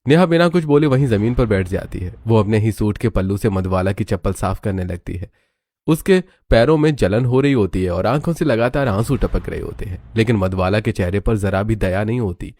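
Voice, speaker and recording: A man's voice: lowish voice, lively intonation, a little fast, darkish tone, dry recording. A man narrating a scripted family drama story in a dramatic storytelling style.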